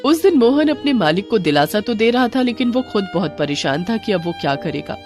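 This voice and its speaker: A woman's voice: mid-range voice, big pitch swings, brisk, a little mellow, faint music behind. A woman narrating a scripted moral bedtime story for children.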